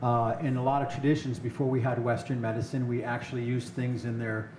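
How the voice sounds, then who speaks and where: A man's voice: medium pitch, little pitch movement, brisk, darkish tone. A man hosting a casual interview show, chatty and joking, asking questions and chiming in. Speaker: talk show host. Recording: roomy echo, clear background noise.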